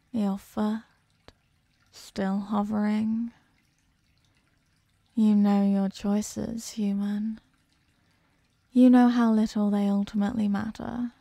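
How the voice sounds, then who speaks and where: A woman voicing an imprisoned ancient eldritch being in a horror ASMR roleplay, imperious, possessive and condescending, with slow and deliberate delivery. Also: mid-range voice, level tone, dark tone, no echo. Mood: coaxing, taunting. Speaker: audio roleplay performer.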